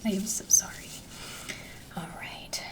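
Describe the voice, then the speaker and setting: Slow whispering woman in an ASMR doctor roleplay. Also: distant mic. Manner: gentle, reassuring, unhurried, speaking directly to a patient.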